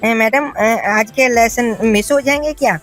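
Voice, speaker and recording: A woman's voice: fairly high pitch, a little slow, natural voice. A woman voicing a timid, polite schoolchild in a cartoon story, speaking hesitantly and with deference. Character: timid, polite village schoolchildren, earnest and put-upon. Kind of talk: Hindi cartoon story voice acting. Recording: music behind the voice.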